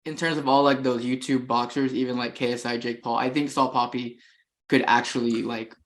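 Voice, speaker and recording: A man's voice: medium pitch, little pitch movement, a little fast. A young man co-hosting a podcast, chatting casually, joking in enthusiastic bursts. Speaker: podcast co-host. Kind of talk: casual chat.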